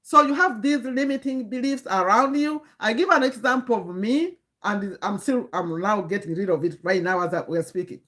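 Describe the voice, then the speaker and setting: A woman's voice: mid-range voice, moderate pace, few pauses, fairly bright tone. A woman in her fifties gives an earnest, lecturing livestream talk to her chat, restarting sentences and breaking off to greet viewers. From Uganda. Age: 50s. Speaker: livestream host and community coach.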